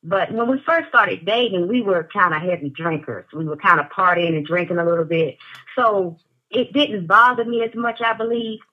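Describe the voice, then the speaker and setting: A woman's voice: mid-range voice, moderate pace, highs cut off. A woman in her forties calling in, talking candidly and laughing, with dramatic exclamations. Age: 40s. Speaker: caller. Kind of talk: casual chat.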